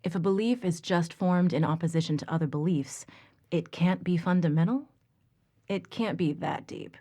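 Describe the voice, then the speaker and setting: A candid, analytical researcher played by a woman in a game audio log. Her voice is a little low and she talks in a natural, probing, conversational way. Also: moderate pace, dark tone, close to an everyday voice. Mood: skeptical, candid. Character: frank, analytical female researcher questioning her colleagues.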